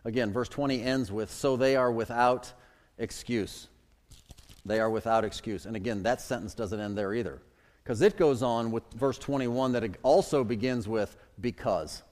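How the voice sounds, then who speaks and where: Middle-aged preacher in a reverberant hall. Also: male voice, medium pitch, moderate pace, darkish tone. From Chicago suburbs. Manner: emphatic preaching with sudden swells and drops. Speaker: pastor. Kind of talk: sermon.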